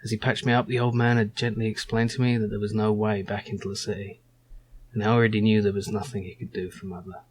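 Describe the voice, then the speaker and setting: A man's voice: lowish voice, flat delivery, quick pace, a little mellow, natural voice. A man narrating as a young male survivor telling his own story in a wry, reflective storybook style.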